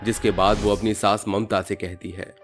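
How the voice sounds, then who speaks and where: A male narrator reads a scripted moral tale in a fairly low voice. Music plays softly behind him. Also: flat delivery, moderate pace.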